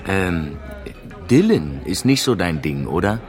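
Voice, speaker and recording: An actor playing a chummy, chatty bar host with a familiar manner, in a naturalistic radio drama. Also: male voice, low voice, big pitch swings, a little slow, soft background music.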